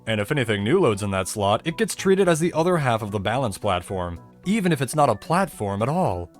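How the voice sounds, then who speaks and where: Man narrating with lively, swinging intonation over music. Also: mid-range voice. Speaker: video creator narrating a technical game explainer. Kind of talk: scripted explanatory narration. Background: music bed under the voice-over.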